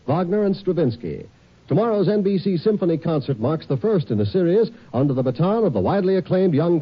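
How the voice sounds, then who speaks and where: A man's voice: a little high, breathy edge. A man giving network announcements and a public appeal in polished 1950s radio style. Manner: polished, declamatory. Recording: dull old radio recording.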